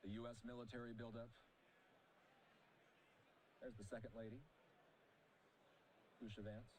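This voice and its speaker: A man giving live news commentary in a steady, informative way. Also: mid-range voice, measured pace. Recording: noisy broadcast feed played on stream.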